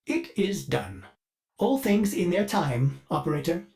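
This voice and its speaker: An actor playing a polite, gentle male ship AI in a video game, talking about himself in the third person with dry, playful wit. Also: high voice, sing-song. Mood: musing. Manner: wistful, slow musing delivery with playful asides. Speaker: ship's AI companion.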